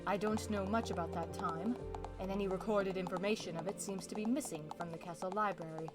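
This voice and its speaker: A regal pony princess of the night , acted by a woman for a cartoon dub. Her diction is formal and archaic, her temper grave and troubled, and her pitch sits at a middle height. Music plays clearly behind her. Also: fairly even intonation, brisk, close to an everyday voice. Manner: formal, old-fashioned royal diction. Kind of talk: fan comic dub acting.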